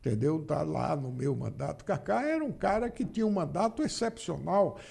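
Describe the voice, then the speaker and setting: A man's voice: on the high side, big pitch swings, normal speed, gravelly, darkish tone, breathy edge. A man in his seventies, a politician guest in a studio podcast interview, telling anecdotes and acting out the dialogue in them, often repeating his phrases. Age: 70s. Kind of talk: casual interview storytelling.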